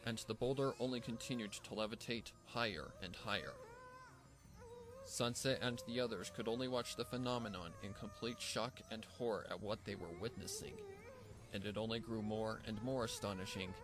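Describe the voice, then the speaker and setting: A man narrating a dramatic scripted fan fiction story in a measured, theatrical way. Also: lowish voice. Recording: music bed behind the voice. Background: background music.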